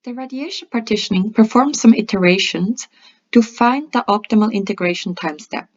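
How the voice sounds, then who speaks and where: A woman presenting a scripted software tutorial in a methodical, step-by-step instructional way. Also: mid-range voice, normal speed. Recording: dull lo-fi voice-over.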